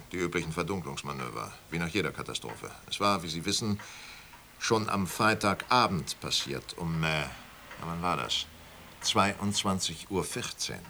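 A cynical old wine dealer, low voice, bright edge, swings up and down a lot, knowing chuckle in it. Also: male voice, slight background noise. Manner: amused, animated, knowing. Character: shrewd older male wine auctioneer, cynical. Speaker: auction house owner. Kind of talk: radio play acting.

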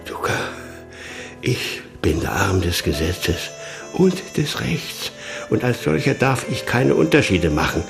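An older male actor playing a gruff, strict yet paternal police commissioner in a radio crime drama, speaking with weighty emphasis. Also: medium pitch.

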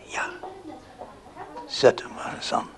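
An actress in a radio play as a frail, tender woman with a breathy whisper. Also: slow, close to an everyday voice. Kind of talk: Dutch radio play acting.

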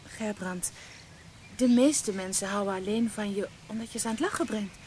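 A woman's voice: mid-range voice, normal speed, lightly acted. An actress playing a frank, devoted young woman in a radio play, teasing and affectionate. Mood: indignant, frank. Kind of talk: Dutch radio play acting. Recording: noisy old radio play recording.

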